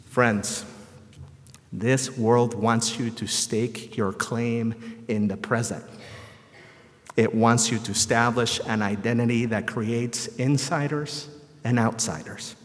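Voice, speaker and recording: A man from El Salvador preaching a sermon in a measured, rhetorical way with deliberate phrasing and repetition. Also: mid-range voice, steady tone. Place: large reverberant church or hall. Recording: echoey hall with long reverb.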